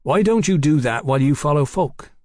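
Storybook gentleman, haughty, formal, commanding, mid-pitched male narrator performing. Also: a little fast, dry recording, studio quality, lightly acted. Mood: assured. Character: self-assured gentleman doctor, haughty and generous.

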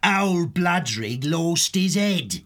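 Brutal gloating sea rat king from a storybook, gravelly n high, slow salty pirate drawl. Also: sing-song, exaggerated voice. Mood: gloating, taunting. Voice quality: gravelly, forceful.

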